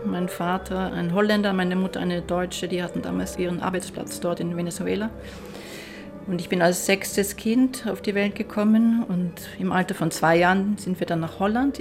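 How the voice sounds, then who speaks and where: Middle-aged woman from Austria, violinist, gravelly lower voice, reflective interview tone, faint violin music behind. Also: fairly even intonation, moderate pace. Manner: reflective, enthusiastic about her work. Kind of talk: documentary interview.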